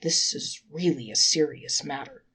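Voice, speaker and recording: A woman's voice: deep voice, unhurried, bright, forceful tone, slightly rough, exaggerated voice. A woman voicing a solemn heavenly judge in a folktale, pronouncing decrees in a formal way. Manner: formal, declaratory.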